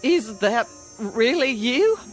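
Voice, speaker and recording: A woman's voice: fairly high pitch, big pitch swings, slow pace, soft background music. An actress playing a wary, tender country mother in a radio drama. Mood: awed.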